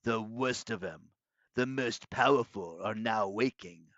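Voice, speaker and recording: Male reader as monster schoolmaster villain, pompous, sneering condescension, deliberate menace, storybook style, dry slightly dull recording. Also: lowish voice, a bit bright, dry recording. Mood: gloating menace.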